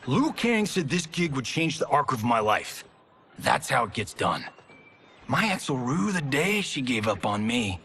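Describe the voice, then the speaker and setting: An actor playing a vain, cocky action movie star in a video game, sarcastic, breezy and boastful. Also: male voice, mid-range voice, sing-song, measured pace, pushed and bright, slight background noise, heavily acted. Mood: exasperated. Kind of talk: video game cinematic acting.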